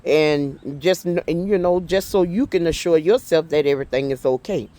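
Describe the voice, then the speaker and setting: A middle-aged woman vlogging in an earnest, heartfelt way, with halting speech full of fillers and restarts. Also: deep voice, mellow. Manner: heartfelt and faith-driven. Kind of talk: casual personal talk to camera.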